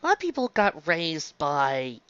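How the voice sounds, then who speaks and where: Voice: mid-range voice, huge pitch swings, a little slow, fairly bright tone, smooth, dry recording. A reviewer giving a casual, rambling monologue, digressing often and stumbling into restarts mid-phrase. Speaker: fan fiction reviewer. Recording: dull lo-fi close dry recording.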